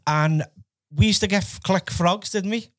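High-voiced Scouse podcast host. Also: male voice, normal speed, a bit bright. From Liverpool, England. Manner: friendly, prompting with questions. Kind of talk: casual chat. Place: small studio with brick wall. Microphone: headset and desk mic.

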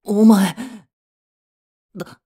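A man playing a young office worker, a proud but regretful boyfriend, in a romantic voice drama with a soft, breathy delivery. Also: high-pitched, fairly even intonation, very slow, exaggerated voice. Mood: shocked, anguished. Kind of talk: romantic voice drama acting with introspective narration.

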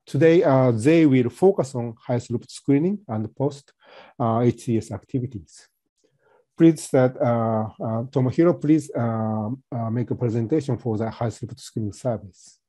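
Halting soft-spoken man presenting. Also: mid-range voice, no echo. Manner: halting, many fillers and restarts. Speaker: company presenter. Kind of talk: webinar presentation.

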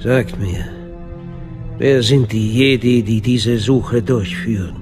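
An actor playing a calculating, commanding Sith master in a radio drama, speaking in a deliberate, imperious way. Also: male voice, mid-range voice, close to an everyday voice. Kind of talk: German science-fiction radio drama acting. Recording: dramatic music under the voice.

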